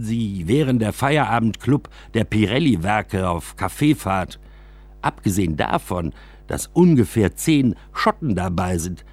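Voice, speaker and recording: A man narrating a scripted first-person story for a radio drama, with an expressive delivery. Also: fairly low pitch, breathy edge, no echo.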